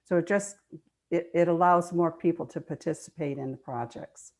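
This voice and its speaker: Older lady with a really low voice, guest on an online meeting, earnest, explains stuff and repeats words for emphasis. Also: normal speed, darkish tone. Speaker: guest speaker, nonprofit foundation trustee. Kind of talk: conversational answers in an online meeting.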